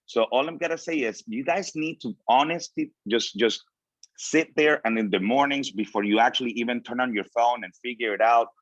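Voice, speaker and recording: A man's voice: mid-range voice, moderate pace, fairly bright tone, dry recording. A man in his late thirties from Venezuela, a native Spanish speaker, giving an informal motivational talk in English with emphatic repetition. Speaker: guest speaker. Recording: dull, lo-fi audio.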